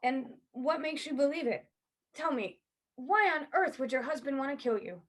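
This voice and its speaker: A woman playing a courteous, skeptical private investigator in a play reading, questioning in a hesitant, measured way. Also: sits high, expressive.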